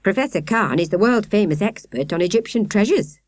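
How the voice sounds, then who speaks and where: A woman voicing a stern, proud, blustering British male police sergeant in a children's storybook, brisk and emphatic. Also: medium pitch, animated, a little mellow, no room sound, pitched above the performer's own voice, close to an everyday voice. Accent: British English.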